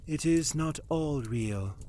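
A man voicing a grave, solemn madam in a spoken horror tale, speaking low, hushed and measured. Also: lively intonation, breathy edge.

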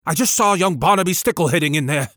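A man's voice: a little high, fast talker, fairly bright tone, no echo, clean studio sound, voice pushed up. Man playing a flustered vicar, anxious and urgent, voice raised. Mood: alarmed. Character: flustered middle-aged male vicar.

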